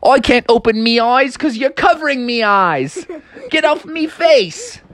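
A woman plays a father frog in a storybook tale. He talks in folksy, drawn-out phrases that swing widely in pitch. He is a cautious parent who is easily flustered. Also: expressive.

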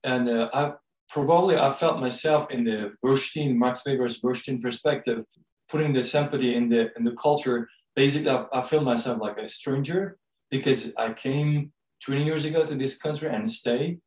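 A man from Turkey with a Turkish accent, speaking English with many pauses and fillers as a guest on a podcast interview. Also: mid-range voice, darkish tone, echoey room, far from the mic, poor audio. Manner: halting, many fillers, searching for words. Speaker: guest, co-author and professor.

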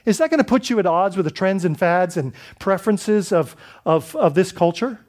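A man's voice: high-pitched, animated, normal speed, darkish tone. A man from the Bahamas preaching a sermon as pastor, in a conversational style with many restarts and rhetorical questions.

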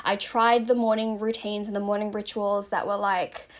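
A woman's voice: medium pitch, flat delivery, moderate pace. A woman hosting a casual self-help podcast, chatty and rambling with lots of fillers, saying she is slightly losing her voice. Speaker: podcast host.

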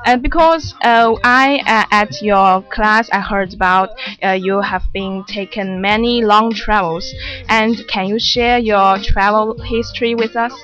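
Young woman presenter, careful halting English. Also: mid-range voice, steady tone, a bit bright. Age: young adult. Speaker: student radio presenter. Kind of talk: radio interview hosting. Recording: muffled lo-fi radio recording with music behind.

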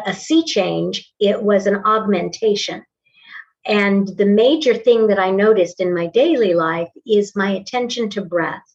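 An older woman in her sixties talks in a measured, articulate way about her teaching. The recording sounds dull and lo-fi. She is a little away from the mic. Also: medium pitch, far from the mic. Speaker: voice and acting teacher. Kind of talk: casual interview conversation. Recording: dull lo-fi audio, slightly off-mic.

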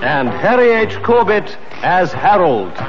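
A man's voice: sits high, sing-song, a little slow, crowd noise. A man with a British accent making a formal announcement as a 1960s radio announcer. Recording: dull old radio recording with audience. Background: theme music and audience.

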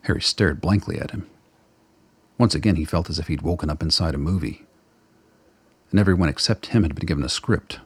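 A man with a husky voice narrating a scripted radio play in prose. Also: rumbling low, a little fast, pauses often, dry recording.

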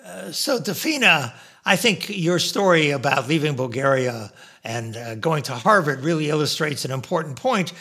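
A man's voice: on the high side, expressive, normal speed, pushed and bright, husky. An older man hosting a podcast, introducing his guest and drawing lessons from her story in a professorial way. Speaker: podcast host. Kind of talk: podcast interview.

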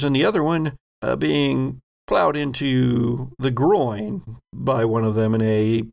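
A man's voice: mid-range voice, vocal fry. A man game master narrating a tabletop game in a descriptive, conversational manner.

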